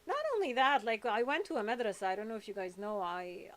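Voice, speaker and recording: A woman's voice: medium pitch, animated, fast. Rambling, candid woman livestreamer. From born in England, raised in Saudi Arabia. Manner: rambling, candid, blunt, jumping between topics. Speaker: livestream host and activist. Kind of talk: casual livestream chat.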